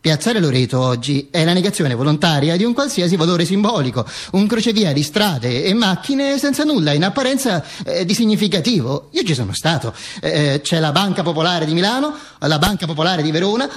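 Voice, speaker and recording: Dead dictator telling his own macabre tale, radio play, husky highish voice, pompous n sardonic, pitch all over the place. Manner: declamatory, halting, with hesitant stammers and repeats. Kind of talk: theatrical radio monologue.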